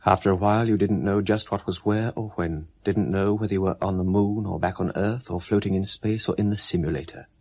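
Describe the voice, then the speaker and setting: Husky low-voiced male narrator. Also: fairly even intonation, darkish tone. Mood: calm. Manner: measured, literary. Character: detached male narrator of a space drama. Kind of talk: 1970s radio drama narration.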